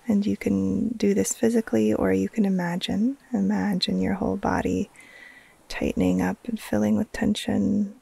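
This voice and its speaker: Slow, breathy woman guiding deep relaxation. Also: rough recording. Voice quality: breathy, soft, often trailing into near-whisper. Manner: slow, soothing, hushed, with long pauses between short phrases. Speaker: yoga nidra teacher, meditation guide. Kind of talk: guided relaxation meditation.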